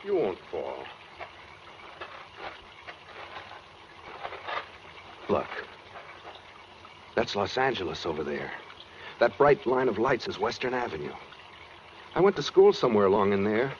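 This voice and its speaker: A menacing madman, animated low-to-high delivery. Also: moderate pace, long pauses. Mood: eerily casual. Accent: American. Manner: matter-of-fact, brooding, chillingly calm. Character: brooding escaped asylum killer, coldly calm, menacing man. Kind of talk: 1940s radio suspense acting. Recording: dull 1940s radio recording with noise.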